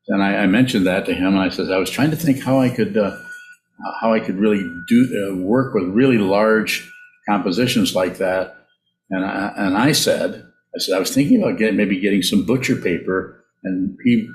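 An older man, a Buddhist teacher, giving an informal, reflective talk in a halting way with repeated words over a video call. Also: sits low. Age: older, around 70s. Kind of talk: informal dharma talk and answering questions. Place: small indoor room, on a video call. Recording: roomy, echoey video call.

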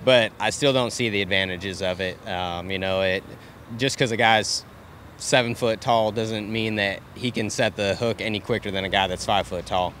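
A man with a lowish voice explains his view step by step, calm and measured. He speaks outdoors into a handheld mic. Faint outdoor noise is underneath. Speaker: professional angler. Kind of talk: interview answers. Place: outdoors by boats. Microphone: handheld mic held by interviewer.

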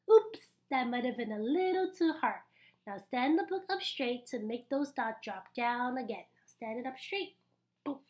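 A young adult woman reading a picture book aloud to small children in an animated, exaggerated, encouraging way, with pauses for them to join in. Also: on the high side. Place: small indoor room.

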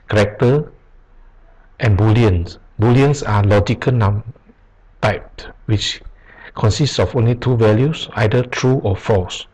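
A man teaching programming over a screen recording, reading aloud slowly with pauses and restarts. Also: mid-range voice, darkish tone, rough recording. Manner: slow, halting, reads aloud with pauses and restarts. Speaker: programming tutor. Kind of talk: screen-recorded tutorial reading from web pages. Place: at a computer, voice-over on screen capture.

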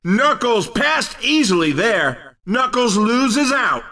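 An excitable male race commentator for a cartoon racing game, pitched extremely high and swooping up and down. He fires off short, punchy calls in a bright, forceful voice. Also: slow pace, distant mic, full character voice. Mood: excited, teasing.

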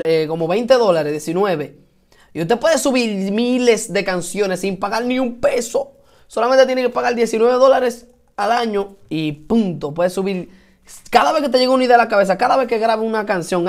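A man with a Dominican accent hosting a casual home live stream, chatty and encouraging, greeting viewers and answering their comments, repeating points for emphasis. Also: high-pitched. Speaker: host of a live stream for musicians.

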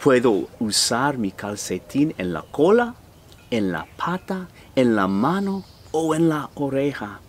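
A middle-aged man reads a picture book aloud with theatrical expression. His pitch swings widely while his pace stays slow and deliberate. He is recorded outdoors, with faint ambient noise around him. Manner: slow, animated, theatrical delivery. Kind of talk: expressive children's read-aloud in character voices. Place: outdoors in a fenced backyard.

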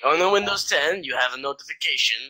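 A man's voice: on the high side, animated, quick pace, pushed and bright, rough recording. A man bantering in online game voice chat, brash and argumentative in broken English. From Poland. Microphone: gaming voice chat mic.

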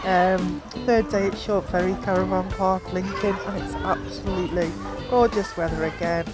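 A woman in her thirties films herself outdoors and chats brightly about the family's day. Her voice sits in a middle pitch and stays casual and upbeat. Music plays loudly beneath the talk. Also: moderate pace, a loud crowd. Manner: chatty and upbeat, excited shouts. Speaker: vlogger. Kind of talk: casual vlog chat. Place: outdoors by a pool and a lake.

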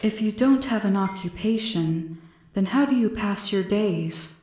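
A woman's voice: mid-range voice, animated, a little slow, darkish tone, roomy sound, close to an everyday voice. A woman voicing a curious, blunt but polite male alien with formal, literal phrasing in a storybook. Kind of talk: storybook character voice.

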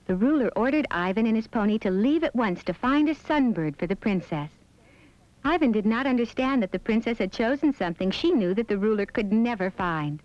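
A woman's voice: on the high side, expressive, brisk, dry recording. A woman storyteller reading scripted narration for a children's fairy tale. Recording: dull lo-fi soundtrack with music behind. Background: background music.

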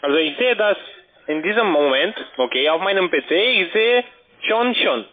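A man's voice: high voice, expressive, moderate pace. A man working as a call centre agent, insistent and non-native in his phrasing, on a phone line. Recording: tinny narrow phone line.

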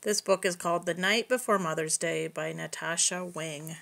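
A woman reading a picture book aloud to young children, expressive and explaining things simply. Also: lowish voice, normal speed, gravelly, slight background noise. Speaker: preschool story reader.

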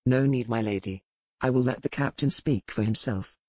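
A woman voicing a gruff, suspicious young male first mate in an audiobook, curt and stubborn. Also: a bass voice, normal speed, darkish tone, dry recording, pitched below the performer's own voice. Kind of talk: audiobook character dialogue.

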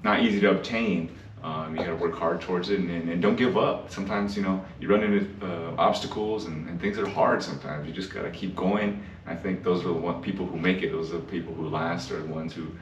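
A man's voice: sits low, brisk, few pauses, highs cut off. A man in his thirties, a comedian, giving casual interview answers. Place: small backstage dressing room. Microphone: camera across the room. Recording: echoey room, distant mic, faint noise.

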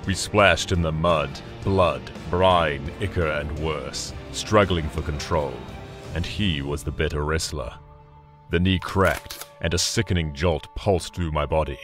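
A man voicing a huge, gloating winged demon who relishes battle and narrates an audio drama in a deliberate, theatrical, menacing way, with music behind him. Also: rumbling low. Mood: grim relish. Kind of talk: first-person dramatic story narration.

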